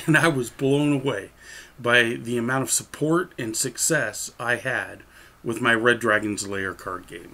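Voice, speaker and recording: A middle-aged man with a noticeably creaky, gravelly voice at an ordinary pitch. He speaks straight to the camera, presenting a project in the manner of a game designer making a promotional pitch. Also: bright, forceful tone. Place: small room at home, indoors.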